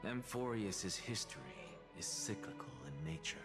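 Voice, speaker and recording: A confident, theatrical male scholar from a video game, lectures with great self-assurance. His intonation swings widely, and his words ring in a long reverb over clearly audible music. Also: on the low side, expressive, a bit bright, exaggerated voice. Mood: assured, lecturing. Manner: declamatory, self-assured, deliberate. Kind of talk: scripted video game voice acting.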